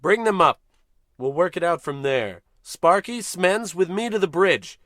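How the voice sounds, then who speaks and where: An actor playing a pompous, dim-witted, bossy American spaceship captain in a comedic old-style radio play. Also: male voice, sits high, lively intonation, a little slow, a bit bright, no echo. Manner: pompous, bossy, self-important.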